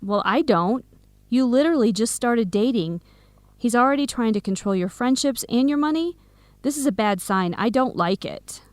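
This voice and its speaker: An actress playing an outspoken, protective young best friend in an educational radio drama, speaking frankly and emphatically. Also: female voice, on the high side, lively intonation, normal speed, a little mellow, no echo, natural voice. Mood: exasperated, insistent. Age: young adult.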